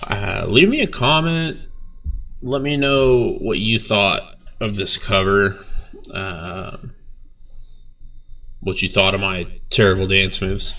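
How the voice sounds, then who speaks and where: Young guy w a low gravelly voice, bright edge, slow rambly talk, kinda far from the mic. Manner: laid-back, rambling, frequent fillers and swearing. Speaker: bass player reacting to his band's video. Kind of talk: casual reaction vlog.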